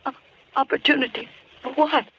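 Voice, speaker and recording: Brash young dame on old radio. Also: female voice, high-pitched, fairly even intonation, moderate pace, a bit bright. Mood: frightened, pleading. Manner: brash, slangy. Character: wisecracking tough young woman, trapped and scared. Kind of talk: 1940s radio horror acting. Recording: muffled old radio recording with hiss.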